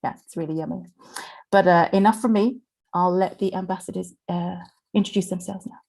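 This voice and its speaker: A woman's voice: fairly low pitch, fairly even intonation, a little fast, gravelly, a little mellow, husky. A woman hosting an online webinar in a chatty way, with many fillers. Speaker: webinar host from the company. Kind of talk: presenting a webinar.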